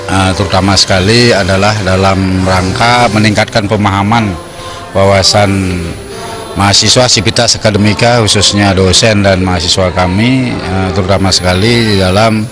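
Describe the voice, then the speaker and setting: Low-voiced middle-aged man, hesitant, music behind. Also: fairly even intonation, normal speed. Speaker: law faculty dean being interviewed. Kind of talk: interview answer. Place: auditorium. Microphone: handheld interview mic.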